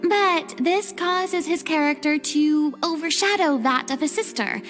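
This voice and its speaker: A theatrical young female director from a video game, with a high voice. She speaks in an articulate, commanding, eager way. The sound has long reverb and music behind it.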